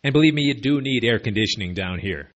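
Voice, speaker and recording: Man, slight lisp, sardonic, digressive, animated pitch, podcast host, borrowed mic. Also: fast talker, muffled recording. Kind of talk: opinionated spoken commentary. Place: away from his usual studio. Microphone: makeshift borrowed equipment.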